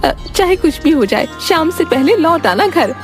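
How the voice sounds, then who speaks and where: A woman's voice: high-pitched, big pitch swings, normal speed, voice pushed up. A woman voicing a worried old village grandmother in a cartoon story, pleading with her grandson. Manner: pleading, fussing. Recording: background music.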